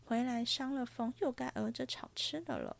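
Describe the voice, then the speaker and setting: A woman voicing a sharp-tongued, tearful young noblewoman in a storybook reading, with a plaintive, reproachful manner. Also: a little high, normal speed, creaky, voice pushed up.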